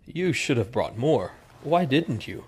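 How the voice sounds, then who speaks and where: An actor playing a proud, fussy old family servant who scolds in formal, wordy speech in a western audio drama. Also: male voice, mid-range voice, very animated, measured pace, husky. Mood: stern, probing. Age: older.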